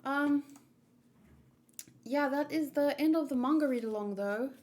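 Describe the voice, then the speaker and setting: A woman hosting a casual livestream, talking in a rambling, halting, easily distracted way. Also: high voice, a little mellow. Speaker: livestream host doing manga read-alongs.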